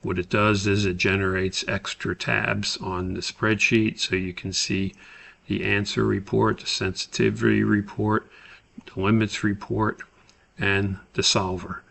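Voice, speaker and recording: A man giving a step-by-step spreadsheet tutorial as an instructor, explaining deliberately. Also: lowish voice, little pitch movement, creaky, a bit bright.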